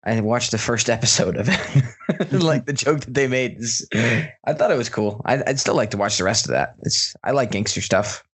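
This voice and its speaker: A man's voice: medium pitch, normal speed, fairly bright tone, husky. A man co-hosting a podcast, explaining things step by step in an informal, hedging way. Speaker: podcast co-host. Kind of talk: casual explanatory podcast chat.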